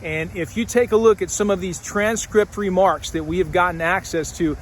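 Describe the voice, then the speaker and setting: Man, high voice, emphatic indignant commentary, faint noise behind. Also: fairly even intonation, moderate pace. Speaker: host. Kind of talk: political commentary.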